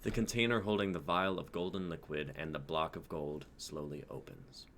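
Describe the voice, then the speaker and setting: A young male narrator with a deep voice. He reads scripted, dramatic scene description, recorded with a little background noise. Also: steady tone, normal speed, fairly bright tone. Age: young adult.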